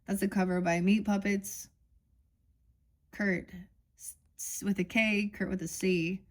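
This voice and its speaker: A woman's voice: medium pitch, little pitch movement, a little slow. Woman musing through an oracle reading. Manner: meandering, musing, reads card texts aloud. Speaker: tarot reader. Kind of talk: casual oracle card reading.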